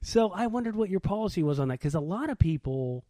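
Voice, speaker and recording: An American man around his thirties or forties co-hosting a podcast, telling everyday stories in slangy, animated casual chat. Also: high-pitched, quick pace, no room sound. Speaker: podcast co-host.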